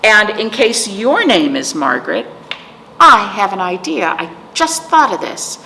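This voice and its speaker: A woman hosting a storytime for children, reading aloud and chatting in a gentle, playful manner to young listeners. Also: mid-range voice, very animated, a little slow. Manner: gentle, playful, addressing young viewers as friends. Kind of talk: read-aloud and chat for children. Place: indoors, room with aquamarine wall. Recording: echoey room with clear background noise.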